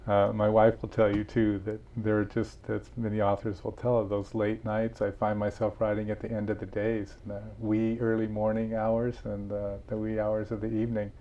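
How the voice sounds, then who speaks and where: A man's voice: on the low side, monotone, normal speed, hardly any pauses, dark tone, lo-fi sound. A man being interviewed about his book, telling stories earnestly with frequent restarts. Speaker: author and guest. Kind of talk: interview conversation.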